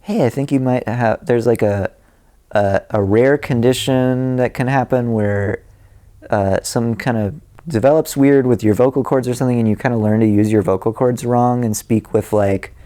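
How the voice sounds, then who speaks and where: A man's voice: mid-range voice, normal speed, dark tone. A man guesting on a podcast as a musician, rambling and self-deprecating, laughing often. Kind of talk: casual interview chat.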